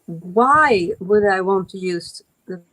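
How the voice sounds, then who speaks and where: Slow-talking Swedish woman. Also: mid-range voice, lively intonation, a bit bright, low-quality recording. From Sweden. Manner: halting, with many fillers and restarts. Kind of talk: casual chat on a livestream. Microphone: headset.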